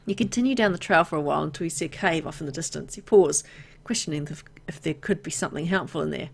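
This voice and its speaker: Low, creaky-voiced woman narrating a game. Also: fast. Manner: reacts playfully to the story with exclamations and asides. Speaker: video game let's player. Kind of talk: reading game narration aloud with casual asides.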